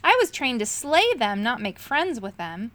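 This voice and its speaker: A woman's voice: a little high, sing-song, normal speed, fairly bright tone, no room sound. A woman reading a mild, kindly knight. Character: kindly male knight, steady.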